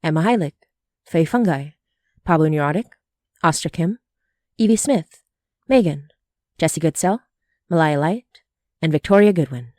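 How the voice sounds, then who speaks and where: A woman's voice: sits low, animated, normal speed, long pauses, mellow, no echo, clean studio sound. A woman hosting a podcast, reading scripted announcements in a friendly manner. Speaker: podcast creator and host.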